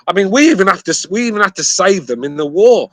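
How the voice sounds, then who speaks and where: A man's voice: sits high, lively intonation, fast talker, a bit bright, no room sound, clean studio sound. A man from Britain with a British accent, talking emphatically and repeating words in an argumentative sports chat. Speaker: podcast panelist.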